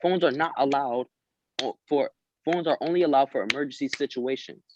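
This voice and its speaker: A man's voice: medium pitch, a little fast, a little hiss or hum. A man at a table read over a video call, reading script lines and coaching others between them. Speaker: script reader and prompter. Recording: dry, slightly dull call audio.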